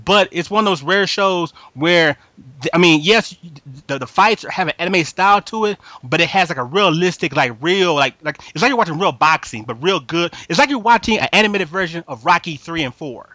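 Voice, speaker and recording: Male podcast host, quick and forceful, slightly high, slangy casual fan talk with emphatic repetition. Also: animated, dry recording. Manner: slangy, repeats words and phrases emphatically. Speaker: podcast host moderating a group discussion. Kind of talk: casual anime fan chat.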